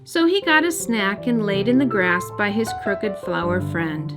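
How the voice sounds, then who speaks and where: A woman's voice: mid-range voice, unhurried. A woman reading a story aloud expressively for young children. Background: music.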